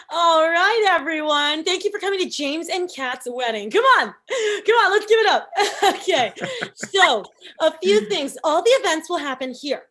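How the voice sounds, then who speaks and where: Woman playing high-pitched, stressed wedding planner. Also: lively intonation, measured pace, fairly bright tone, heavily acted. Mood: forced cheer. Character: always stressed wedding organizer in her thirties. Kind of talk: table read of a screenplay.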